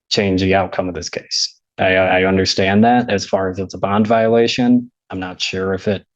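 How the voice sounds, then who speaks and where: A man's voice: sits low, dry recording. A man arguing in court as a defense attorney, deferential and hesitant in his phrasing. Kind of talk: courtroom argument. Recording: dull, lo-fi courtroom audio.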